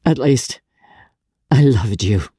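Audiobook role: woman plays a reckless, lovestruck gentleman, urgent and insistent in formal phrases, with a soft, dark-toned voice. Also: very deep voice, animated, slow pace, slightly rough, dry recording, studio quality, heavily acted. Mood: anguished. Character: impassioned, reckless engaged gentleman in love. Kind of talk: dramatic literary audiobook reading.

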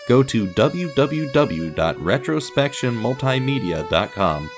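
Expressive, lowish-voiced man narrating. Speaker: narrator and host. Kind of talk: scripted dramatic story narration. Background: music.

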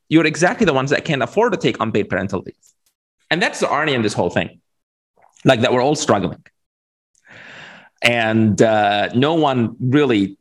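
A man, talking casually and explaining his points as a guest in an interview over a video call. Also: medium pitch. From Egypt. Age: 40s. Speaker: interview guest, venture capitalist.

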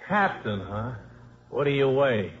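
Sardonic wisecracking coach, old muffled radio. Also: male voice, medium pitch, big pitch swings, measured pace. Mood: dry, sardonic. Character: wisecracking down-on-his-luck football coach and father. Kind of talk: 1950s radio drama acting.

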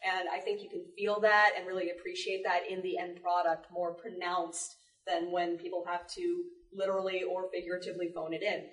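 A woman's voice: fairly low pitch, little pitch movement, brisk, few pauses, fairly bright tone, distant mic. A woman speaking informally on a panel as a live Foley sound artist, presenting to an audience. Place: public library panel room. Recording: roomy library panel, off-mic.

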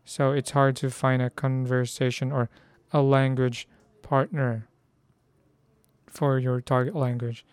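A hoarse young man from the Philippines, whose first language is Filipino, chatting casually about learning Korean and stopping to restart his sentences. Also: medium pitch, level tone, slow, darkish tone, no echo. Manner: chatty, self-correcting, reads phrases aloud.

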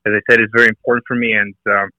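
A man's voice: on the low side, level tone, very fast, pushed and bright, dry recording, lo-fi sound. A middle-aged man with a Bulgarian accent, a guest on a podcast interview, talking earnestly with many hesitant fillers. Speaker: guest, fitness and nutrition coach.

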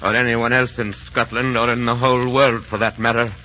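A man's voice: mid-range voice, little pitch movement, brisk, bright, forceful tone, lightly acted. An actor playing an indignant, scornful old townsman who grumbles disapprovingly, in 1940s radio style. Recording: dull, noisy 1940s radio recording.

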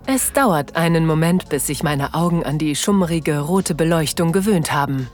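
A woman playing an excited, sensual, playful married woman in an intimate audio drama, breathy and dropping into whispers. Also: sits low, normal speed, faint music behind. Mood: dreamy anticipation. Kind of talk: intimate first-person German audio drama narration.

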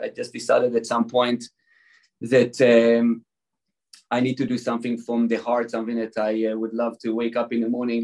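A middle-aged Israeli man speaks as a guest in a relaxed chat, his voice sitting at a middle pitch. He often fills gaps with ums and uhs as he gathers his thoughts. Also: low-quality recording. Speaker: guest, wine founder. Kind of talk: casual interview chat.

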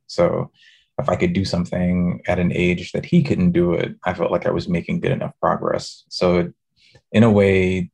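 A man from New City, a design professor giving thoughtful, measured answers as a podcast guest. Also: low-pitched, creaky, darkish tone, husky. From New York City. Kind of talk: podcast interview.

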